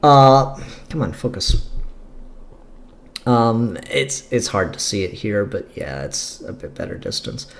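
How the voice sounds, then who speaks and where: A man's voice: on the low side, big pitch swings, takes it slowly, long pauses, reverberant. A man giving casual, opinionated review commentary on comic books in a rambling, enthusiastic way.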